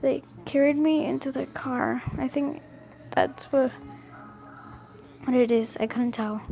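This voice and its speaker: A woman reading a first-person story aloud as an amateur narrator, haltingly, often stumbling and rereading words. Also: sits high, steady tone, vocal fry, mellow. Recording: music behind the voice. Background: music.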